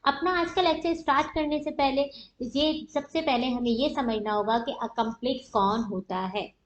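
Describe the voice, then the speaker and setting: A woman's voice: high-pitched, steady tone, unhurried. A woman with a Pakistani accent giving an explanatory law lecture, didactic and often asking questions that she answers herself. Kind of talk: explanatory lecture with everyday examples. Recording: echoey room, dull muffled audio.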